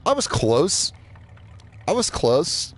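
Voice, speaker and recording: A man's voice: on the high side, very animated, takes it slowly. A man from Indiana, streaming a game and chatting loosely, rambling and swearing as he plays. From Indiana, USA. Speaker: gaming streamer. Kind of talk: casual live gameplay commentary.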